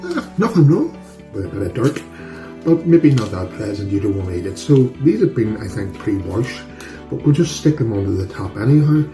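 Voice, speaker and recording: A middle-aged man with a Northern Irish accent hosts a casual cooking video from his home kitchen. His voice sits on the low side, and he talks in a chatty, self-deprecating, down-to-earth manner. Music plays clearly behind him. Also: big pitch swings, normal speed. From Northern Ireland. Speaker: cooking channel host.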